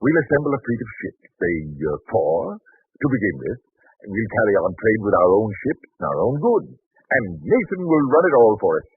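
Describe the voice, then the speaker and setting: An actor playing an eager, affable merchant in an old biblical radio drama, ingratiating and a little hesitant. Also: male voice, on the low side, sing-song, normal speed, slightly rough, no echo, heavily acted. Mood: eager, persuasive. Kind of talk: 1940s radio drama acting. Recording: muffled, thin 1940s radio recording.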